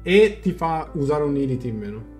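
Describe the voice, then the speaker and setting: Mellow-voiced young Italian man streaming. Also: on the high side, big pitch swings, moderate pace. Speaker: game streamer. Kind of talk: casual live reaction and translation commentary. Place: small room at home. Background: faint game music.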